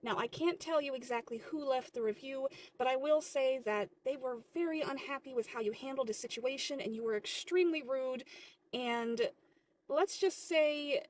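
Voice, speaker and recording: Woman acting a high-voiced, officious female boss. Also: a little hiss or hum, natural voice. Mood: grave, officious. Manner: officious, measured. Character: stern, condescending female general manager.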